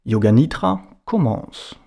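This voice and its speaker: A man guiding a relaxation as a yoga and meditation teacher, giving measured instructions in short, separated phrases. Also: mid-range voice, animated, vocal fry, dark tone. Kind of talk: guided relaxation narration.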